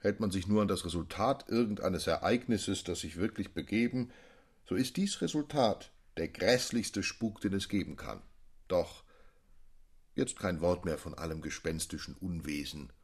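Low husky voice, decisive agitated gentleman, formal, emphatic, literary radio drama. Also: moderate pace. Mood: unsettled, firm.

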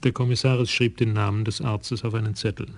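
A man narrating a radio crime drama in an even, matter-of-fact way. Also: on the low side, fairly quick, gravelly.

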